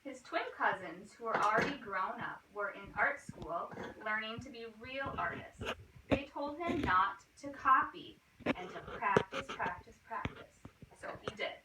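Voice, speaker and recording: High-voiced woman reading aloud, distant roomy recording. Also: expressive, unhurried. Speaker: teacher reading to children. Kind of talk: picture-book read-aloud with asides to children. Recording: roomy, distant mic, clear noise and clicks.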